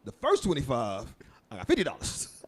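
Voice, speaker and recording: A man's voice: mid-range voice, wildly swooping pitch, normal speed, breathy edge. A man co-hosting a podcast, telling a long story in a casual, laughing manner with lots of swearing. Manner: laid-back, laughing, swearing, acts out quoted voices. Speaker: podcast co-host.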